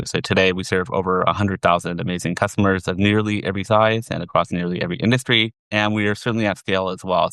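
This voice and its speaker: A middle-aged man with an American accent, giving measured, explanatory answers as an interview guest. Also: deep voice, a bit bright, clean tone, no echo. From southern California, USA. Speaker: interview guest, finance executive.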